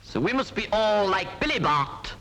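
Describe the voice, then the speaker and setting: A man's voice: high voice, sing-song, normal speed, bright, forceful tone, full character voice. A man playing a comic 1940s film instructor, reciting a road safety rhyme in a singsong, mock-stern way. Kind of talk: 1940s public information film verse narration. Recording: noisy 1940s British film soundtrack.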